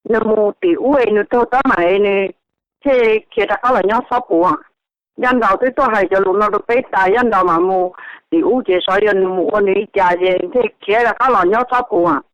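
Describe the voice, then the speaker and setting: A woman's voice: medium pitch, moderate pace, dry recording. A woman speaking conversationally as a participant on a telephone conference call. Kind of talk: conversational speech on a group call. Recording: dull, lo-fi telephone conference line.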